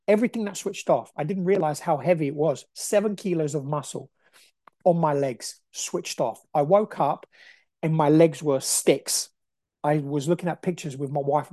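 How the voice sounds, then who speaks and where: A man in his forties from Brazil hosts a solo podcast, pushing a motivational message in an emphatic, repeating way. His voice has a mellow, relaxed tone. The room sounds dry and close. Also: a little high, no room sound. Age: 40s. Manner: emphatic, repetitive, preachy. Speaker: podcast host. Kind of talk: motivational monologue.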